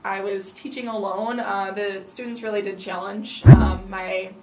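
A woman's voice: medium pitch, fairly even intonation, a little slow, reverberant, distant mic, heavy background noise. A young woman in her twenties, a student teacher, answering interview questions earnestly with frequent ums. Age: 20s.

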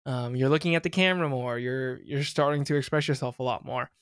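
A man's voice: mid-range voice, steady tone, fairly quick, a bit bright, smooth, no echo, clean studio sound. A young man hosting a podcast, talking casually with lots of fillers and restarts. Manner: rambling, many fillers and restarts. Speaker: podcast host.

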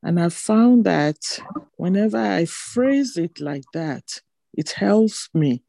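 A hoarse woman from a church congregation giving a halting, reflective comment over a video call. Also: fairly low pitch, lively intonation, dark tone, no room sound. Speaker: congregation member. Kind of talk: spoken comment during online service.

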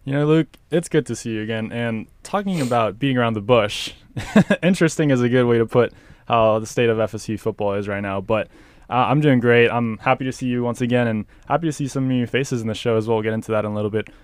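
A young man co-hosting a college sports radio show in a campus studio, relaxed and chatty. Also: mid-range voice, quick pace, clean tone, no room sound. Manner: relaxed and chatty, laughs easily. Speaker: co-host. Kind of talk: casual sports talk.

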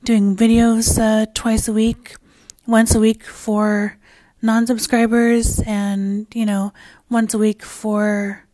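A woman hosting her own podcast in a casual, rambling solo chat, her voice raspy and hoarse as she loses it, with plenty of fillers and restarts. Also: fairly high pitch, level tone, takes it slowly, few pauses, warm tone, no echo. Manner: swears casually. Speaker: podcast host.

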